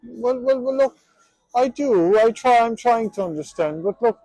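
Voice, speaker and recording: A man chatting casually on the street in a halting way, repeating words and trailing off. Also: very high-pitched, expressive, warm tone, no echo, low-quality recording. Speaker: passer-by who streams. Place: outdoors on a town street.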